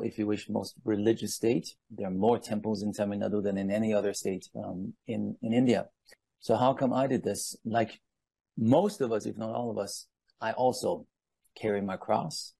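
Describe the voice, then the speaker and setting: Deep-voiced, gravelly man telling his story. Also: dark tone, no room sound. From Iran. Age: 50s. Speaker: guest speaker on palm leaf reading. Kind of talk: personal storytelling and explanation.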